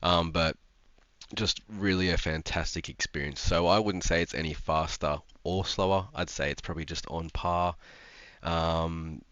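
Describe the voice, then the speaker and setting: A man's voice: sits low, fairly even intonation, moderate pace, a bit bright, dry recording, dull audio. A young man, stuffed up from a cold, chatting casually about sim racing with lots of ums and restarts. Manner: rambling, frequent ums and restarts. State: congested from a cold. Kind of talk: casual commentary to camera.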